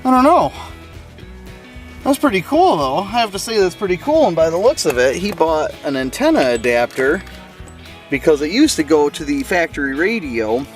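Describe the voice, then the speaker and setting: A man's voice: fairly high pitch, big pitch swings, moderate pace, soft background music. A man giving a casual, folksy hands-on walkthrough to camera as a car hobbyist, thinking aloud as he works with some hesitation.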